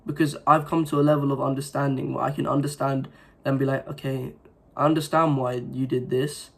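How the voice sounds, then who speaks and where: A man's voice: mid-range voice, little pitch movement, a little fast, creaky, fairly bright tone. A young man with a sore throat from recent illness, giving an earnest, rambling motivational talk to his viewers with many fillers and restarts. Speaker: self-improvement vlogger. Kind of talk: casual motivational talk to camera.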